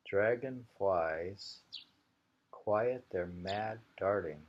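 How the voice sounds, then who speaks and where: A man reading short poems in an unhurried, halting way, repeating lines slowly and musing on them informally in a dull, lo-fi home recording. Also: fairly low pitch, slight background noise. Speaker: reader of a poetry anthology.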